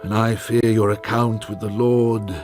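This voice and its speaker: An actor playing a stern, pious clergyman brother who speaks gravely and reprovingly. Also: on the low side, flat delivery, lightly acted. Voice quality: grave, measured. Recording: music playing underneath.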